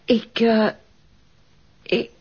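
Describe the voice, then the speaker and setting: A woman with a Flemish accent acting as a young policewoman who can pose as helpless, hesitant and halting, in a television crime drama. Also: darkish tone. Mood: tearful, pleading. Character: eager young policewoman, posing as timid and helpless. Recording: dull lo-fi audio.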